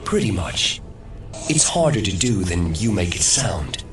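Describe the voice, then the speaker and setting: An actor playing a mysterious disembodied mastermind in an audio drama, measured and coaxing with a smug, softly menacing calm. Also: male voice, low voice. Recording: distant voice under loud music.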